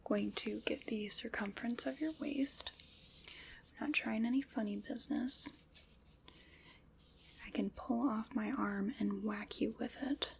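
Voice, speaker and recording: A woman voicing a calm, methodical skeleton armorer, whispering polite step-by-step instructions in an ASMR roleplay. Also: a little high, unhurried, gravelly, pauses often, slight background noise. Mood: gentle instructive.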